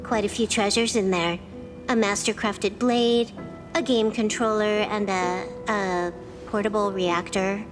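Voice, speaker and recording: A woman's voice: medium pitch, steady tone, unhurried, music in the background, close to an everyday voice. A woman playing a cool, cheeky young teammate in a video game, with a laid-back, dry delivery. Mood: teasing, smug.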